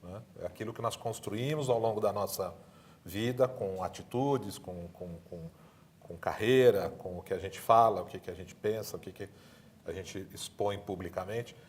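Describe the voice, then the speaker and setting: A man's voice: deep voice, normal speed. A man with a Brazilian accent speaking as a panelist, often adding little tag questions to his points. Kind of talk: panel discussion.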